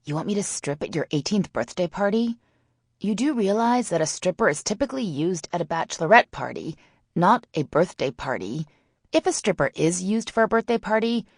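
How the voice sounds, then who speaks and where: A mischievous teenage girl with a smart mouth, acted by a woman narrator talks in a low, creaky voice. She piles on teasing, mock-haughty sarcasm in a storybook romance. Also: big pitch swings, normal speed, no room sound, clean studio sound, exaggerated voice. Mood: sarcastic.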